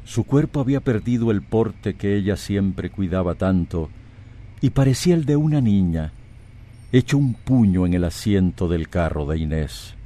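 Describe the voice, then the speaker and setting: A man's voice: low-pitched, expressive, normal speed, warm tone. A Costa Rican man playing the solemn storyteller of a radio soap, narrating dramatically. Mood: solemn, dramatic.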